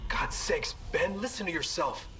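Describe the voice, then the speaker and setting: Casting: male actor as anxious space-crew doctor, high voice, swinging pitch, urgent game drama. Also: expressive, moderate pace, distant mic, background noise, lightly acted. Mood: urgent, grave. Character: anxious male ship's doctor pleading with his deranged captain. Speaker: ship's doctor. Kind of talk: dramatic sci-fi game acting.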